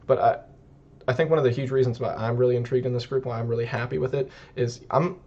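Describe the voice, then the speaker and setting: A man's voice: medium pitch, steady tone, fast, darkish tone, highs cut off. A man from Northern California co-hosting a casual podcast, rambling and correcting himself as he goes. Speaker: podcast co-host.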